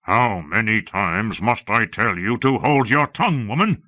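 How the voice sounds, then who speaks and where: A man voicing a bitter, weary, hot-tempered king in an audiobook, speaking bluntly and forcefully in drawn-out phrases. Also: low-pitched, lively intonation, dry recording, dull audio, heavily acted. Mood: furious. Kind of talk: audiobook character acting.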